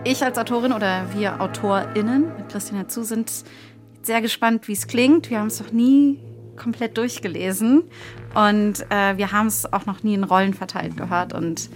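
A woman's voice: mid-range voice, normal speed, soft background music. A woman talking informally as the co-author of a radio play. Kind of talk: informal spoken introduction.

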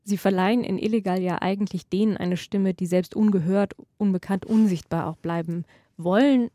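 Mellow-voiced woman conducting an interview. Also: mid-range voice, steady tone, moderate pace, dry recording, clean studio sound. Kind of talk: prepared cultural radio interview questions.

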